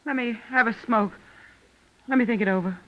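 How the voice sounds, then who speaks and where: A high-pitched, high-strung femme fatale played by a woman speaks on a muffled vintage radio recording. She is desperate and pleading, in dramatic 1940s melodrama fashion. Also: fairly quick, lightly acted. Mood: anxious, wary.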